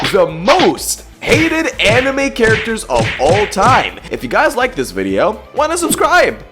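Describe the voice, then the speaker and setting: A young adult YouTube host roasting in a sarcastic, mocking scripted commentary, jumping between loud outbursts and low mutters.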